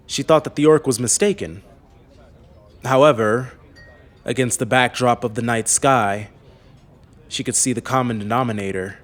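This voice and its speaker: A man narrating a scripted fantasy audiobook in a measured, serious way. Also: medium pitch.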